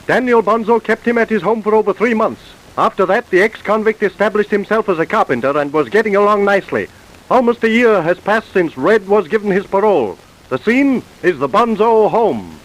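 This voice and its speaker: Old time american radio narrator, high voice, serious scripted crime story read. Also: male voice, animated, moderate pace, rough recording. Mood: solemn. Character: solemn male radio drama narrator.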